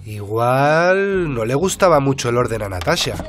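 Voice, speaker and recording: Male actor, curious journalist, mid-pitched, casual questioning, radio serial, music behind. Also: big pitch swings, moderate pace, close to an everyday voice. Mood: probing. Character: inquisitive, easygoing male journalist investigating a death. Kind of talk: radio drama acting.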